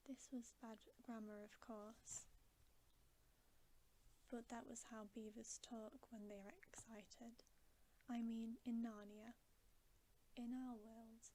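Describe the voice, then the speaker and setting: Slow, whispering woman reading a bedtime story. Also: fairly high pitch, low-quality recording. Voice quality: soft, breathy, mostly whispered. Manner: gentle, unhurried, soothing. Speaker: ASMR storyteller. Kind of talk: whispered ASMR bedtime reading of a children's novel.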